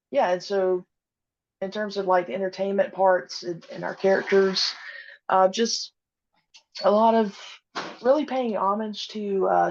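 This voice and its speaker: The recording is dull and lo-fi, carrying a woman's mid-pitched voice. She is in her thirties and speaks casually as a podcast guest. Her phrasing is halting and hesitant as she gathers her thoughts. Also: gravelly, darkish tone. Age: 30s. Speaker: podcast guest, audio drama creator. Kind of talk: casual interview conversation.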